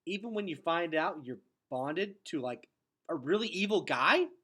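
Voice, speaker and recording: An earnest, defiant boy hero from a fantasy storybook, acted out by a man. The delivery is blunt and forceful, raised in pitch and swinging widely, with a bright edge. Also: lively intonation, a little slow. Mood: incredulous.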